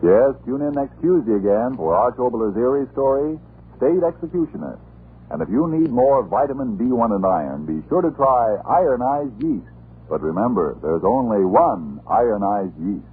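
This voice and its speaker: A man's voice: medium pitch, very animated, moderate pace, husky. A man working as an announcer, delivering scripted 1940s radio advertising patter. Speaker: radio announcer and sponsor pitchman. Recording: tinny old radio recording.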